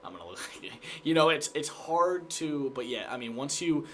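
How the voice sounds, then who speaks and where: A man's voice: fairly high pitch, moderate pace, a little hiss or hum. A young American man chatting casually and energetically as an interview guest, often acting out his own thoughts. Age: young adult. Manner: energetic, animated self-quoting. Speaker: guest, TV sports reporter and anchor. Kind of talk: casual chat.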